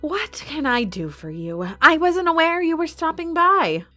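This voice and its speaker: Villainess, non-human arena boss: imperious, cold, animated pitch, threatening, audio drama with music underneath. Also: female voice, exaggerated voice. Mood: defensive. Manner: haughty, menacing, measured threats.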